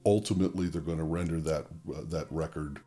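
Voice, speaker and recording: A man with a very low-pitched voice hosts an instructional demonstration. He talks conversationally and explains each option step by step. He speaks straight to the viewer in a methodical way. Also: fairly quick, warm tone, soft background music. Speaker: presenter of a record-cleaning tutorial channel.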